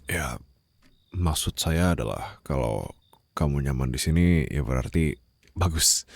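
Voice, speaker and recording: A man acting a stern but caring mafia boss in an ASMR roleplay, speaking formally and haltingly into a close microphone. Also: rumbling low, a little mellow, no echo. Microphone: close studio-style microphone, dry.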